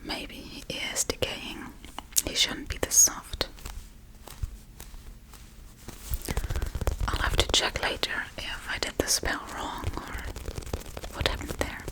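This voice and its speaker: A woman playing a scatterbrained, casually callous witch in a soft-spoken ASMR role-play, rambling in a breathy, mostly whispered voice. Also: unhurried, lightly acted, low-quality recording. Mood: fond, puzzled. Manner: offhand, rambling, blithely unbothered.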